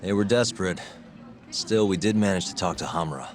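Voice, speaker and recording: An actor playing a gruff, world-weary private detective in a video game, blunt, terse and dry. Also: male voice, sits low, a little fast, faint music behind, natural voice. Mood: dry, casual. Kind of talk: video game voice acting, cinematic dialogue.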